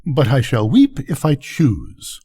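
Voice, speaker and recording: A man's voice: mid-range voice, wildly swooping pitch, takes it slowly, mellow, husky, pitched above the performer's own voice, exaggerated voice. An older man voicing a vain, self-pitying firework rocket in a bedtime story, pompous, indignant and declamatory. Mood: haughty.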